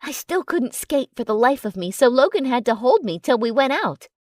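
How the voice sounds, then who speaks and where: A woman's voice: high voice, sing-song, normal speed, darkish tone, no room sound, clean studio sound. A woman reading scripted first-person fiction as an audiobook narrator.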